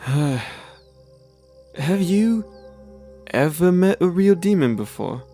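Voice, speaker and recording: An actor voicing a charismatic demon fiddler, roguish and protective with a wounded side, in a theatrical audio drama style. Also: male voice, high-pitched, lively intonation, slow, dark tone, soft background music, heavily acted. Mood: earnest, resigned.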